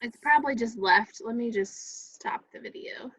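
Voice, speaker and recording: A woman's voice: fairly high pitch, normal speed, a bit bright, breathy edge, poor audio. A woman coordinating a virtual event, talking casually as she organizes participants over a video call.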